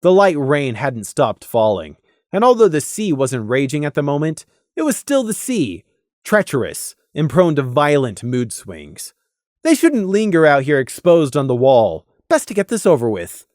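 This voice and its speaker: A woman reading scripted story narration in an expressive, dramatic way, as an audiobook narrator. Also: sits low, moderate pace, no room sound, studio quality.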